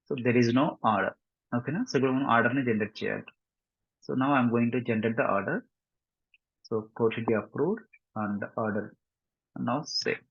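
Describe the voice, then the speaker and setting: A man with a Telugu Indian accent giving a step-by-step software tutorial, mixing in English and often checking understanding with short tag questions. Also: medium pitch, slightly rough. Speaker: software instructor. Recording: muffled lo-fi mic, roomy echo.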